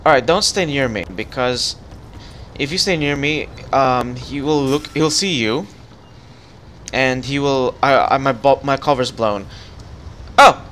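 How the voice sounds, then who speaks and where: A man talks over a co-op game at a mid pitch and gives his partner directions, stopping to restart and fill gaps with "um" and "let's see." A faint hiss sits under the voice chat. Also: a little slow, a bit bright. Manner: guiding and giving directions, with fillers and restarts. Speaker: gaming channel host. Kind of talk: casual co-op gameplay chat.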